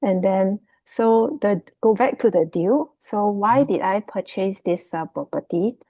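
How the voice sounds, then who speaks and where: A woman's voice: mid-range voice, a little slow, warm tone, no room sound, rough recording. A woman from Singapore with a Singaporean accent, giving a casual, unscripted presentation in a hesitant, loosely phrased way as a guest. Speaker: guest, property investment student. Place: remote video call.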